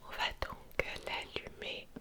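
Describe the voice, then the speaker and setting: A woman whispering gently and soothingly as an attentive spa beautician in an ASMR roleplay. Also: slow pace.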